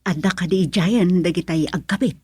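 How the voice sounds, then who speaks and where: A woman's voice: fairly low pitch, a little fast, darkish tone, slightly rough. A woman with an Ilocano accent playing an aggrieved, complaining wife in a comedic radio drama. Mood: questioning. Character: aggrieved wife bickering at village hearing.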